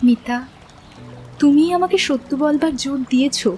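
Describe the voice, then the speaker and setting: A woman playing a gentle, earnest young woman in a romantic audio drama, speaking softly and candidly about her doubts in love. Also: high voice, soft background music. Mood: gently firm. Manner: soft-toned, sincere, measured. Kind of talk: Bengali literary audio drama acting.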